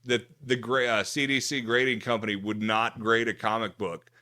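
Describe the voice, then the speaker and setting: A man's voice: mid-range voice, flat delivery, normal speed, sharp tone. A man in casual, bawdy podcast banter, telling stories in a crude, profane, joking way. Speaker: podcast host.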